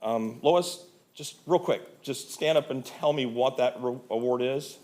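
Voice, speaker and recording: A man's voice: mid-range voice, unhurried, darkish tone. A man presenting to a county board as a volunteer, talking informally with fillers and restarts. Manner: conversational, many fillers and restarts. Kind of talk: public meeting presentation. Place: county commission meeting room.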